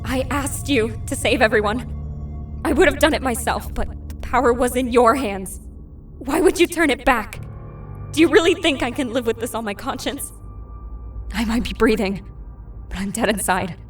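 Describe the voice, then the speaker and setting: A woman playing a dazed young woman, a haunting vision of a wounded friend in an animated drama. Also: fairly high pitch, normal speed, pauses often, recorded from a distance, soft background music, natural voice. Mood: reproachful, hollow.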